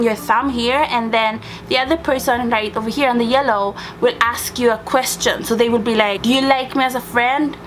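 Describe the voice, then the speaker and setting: Young female vlogger, very high voice, casual and playful, clear background noise. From Sweden. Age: young adult. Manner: playful, exclamatory, slangy. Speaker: vlogger showing childhood belongings. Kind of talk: casual chat to camera.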